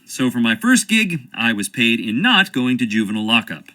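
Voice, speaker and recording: A man's voice: mid-range voice, sing-song, normal speed, pushed and bright. An actor playing a charming, evasive young stage actor with an American accent, wry and theatrical as he dodges questions. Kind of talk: video game voice acting.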